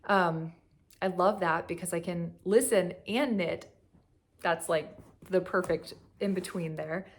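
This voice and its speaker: Chatty woman with a slightly hoarse voice. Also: lowish voice, steady tone, measured pace. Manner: chatty, rambling, frequent fillers and laughs. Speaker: knitting vlogger. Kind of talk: casual chat. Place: at home on a couch.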